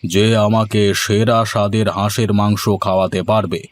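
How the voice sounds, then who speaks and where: A man voicing a commanding village headman in a moral-story cartoon, speaking deliberately and with authority. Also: lowish voice, steady tone, natural voice. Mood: pondering, pronouncing. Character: authoritative village headman who loves good food.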